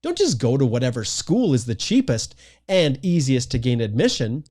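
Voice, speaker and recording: Male presenter, scripted explainer, animated pitch, punchy list delivery. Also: moderate pace. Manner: lively, emphatic delivery of a numbered list. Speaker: immigration consultant presenting a video.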